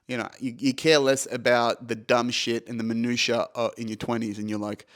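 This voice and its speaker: A man's voice: mid-range voice, little pitch movement, a little fast, fairly bright tone. Rambling, foul-mouthed man in his thirties. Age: 30s. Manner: rambling, swears freely, self-mocking. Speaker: podcast host. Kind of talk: casual solo podcast monologue.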